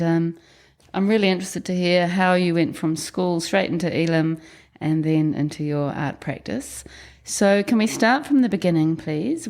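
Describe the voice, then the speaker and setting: A woman's voice: on the low side, darkish tone. A woman hosting a podcast, reading a scripted intro and then chatting in an encouraging, friendly way with her guest. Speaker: podcast host. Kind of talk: scripted intro then casual interview chat.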